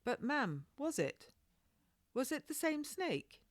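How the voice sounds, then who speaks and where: A woman's voice: high voice, sing-song, takes it slowly, dry recording, studio quality, voice pushed up, heavily acted. A woman voicing a young servant girl in a storybook, curious and anxious, eagerly asking questions. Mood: insistent, curious.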